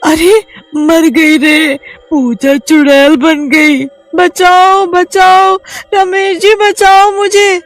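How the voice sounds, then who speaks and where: A woman voicing a young village woman in a cartoon who panics easily and wails in a shrill voice. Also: very animated, takes it slowly, a little mellow, faint music behind, exaggerated voice. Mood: frightened. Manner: shrill and wailing, easily frightened. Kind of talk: cartoon voice acting.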